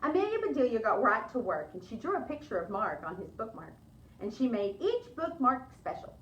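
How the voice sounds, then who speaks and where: A woman reading aloud to children as a library storyteller, expressive, with chatty asides to the listeners. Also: a little high, fairly quick, recorded from a distance, muffled recording, a little hiss or hum. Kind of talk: animated children's read-aloud. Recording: echoey room.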